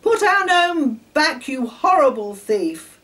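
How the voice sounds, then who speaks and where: A woman storyteller voicing an angry, house-proud older wife who shouts furiously in a children's story. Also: sits high, sing-song, takes it slowly, voice pushed up, heavily acted.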